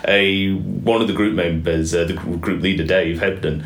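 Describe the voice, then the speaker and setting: A man's voice: low-pitched, fairly even intonation, moderate pace. A man who volunteers as a living history reenactor, talking earnestly and reflectively in a casual interview, with some restarts. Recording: echoey room.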